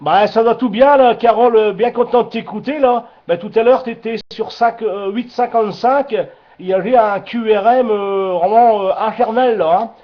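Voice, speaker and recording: A man's voice: sits high, normal speed, a little hiss or hum. A man chatting casually as an amateur radio operator, repeating himself and using radio jargon over a radio link. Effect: radio transmission. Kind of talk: casual radio contact chat. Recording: dull lo-fi radio sound.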